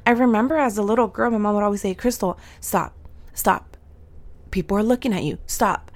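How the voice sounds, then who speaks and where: A woman in her thirties hosting a solo podcast, bubbly and affirming, her voice slightly nasal and raspy today. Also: medium pitch, brisk. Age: 30s. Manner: bubbly, affirming, with slang and laughs. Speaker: podcast host and soul mentor. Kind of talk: upbeat solo podcast talk.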